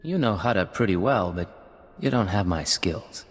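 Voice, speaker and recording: A ruthless undercover agent in a video game. His low, husky voice is terse and menacing, with a long reverb. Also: animated, a little slow, heavily acted. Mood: cold.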